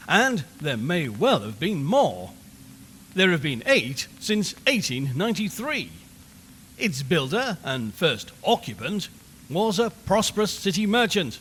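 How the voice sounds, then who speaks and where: A man acts an earnest, showy male radio reporter in an old-style radio play. His voice is high and highly animated, with slow, formal, theatrical phrasing. It rings in a long, hall-like reverb. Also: a little hiss or hum, exaggerated voice. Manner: theatrical, formal, deliberate storytelling. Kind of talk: old-fashioned scripted radio drama narration.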